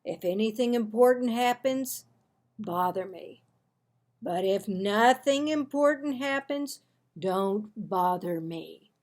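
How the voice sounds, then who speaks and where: A woman voicing a grand, brisk father from a children's storybook, giving emphatic orders. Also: mid-range voice, expressive, a little mellow. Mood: firm, emphatic.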